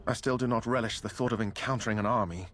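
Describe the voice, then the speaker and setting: An actor playing a nervous young knight who keeps protesting the danger. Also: male voice, fairly low pitch, quick pace, a bit bright, slight background noise, close to an everyday voice. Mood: anxious. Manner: anxious, protesting.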